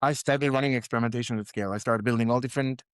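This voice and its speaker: A man from Israel, a founder guest in an interview, telling animated anecdotes with fillers and repeated words. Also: mid-range voice, very fast, clear, resonant tone, no room sound, clean studio sound. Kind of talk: conversational storytelling.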